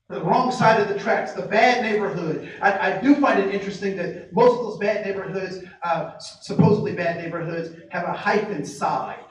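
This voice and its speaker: Guy running a workshop, far from the mic, lots of room echo and faint hiss. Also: high voice. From United States. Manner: asks the audience many rhetorical questions; slows down to stress key phrases. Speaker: diversity trainer. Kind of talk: workshop presentation to a live group. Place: training room with tables. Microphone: distant mic picking up the room.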